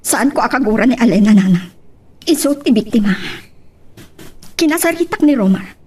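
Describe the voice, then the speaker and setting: A woman with an Ilocano accent playing a hurt young woman confiding sorrowfully in an audio love drama, in a husky voice, a little off-mic. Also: a little high, expressive, normal speed, a little mellow, far from the mic.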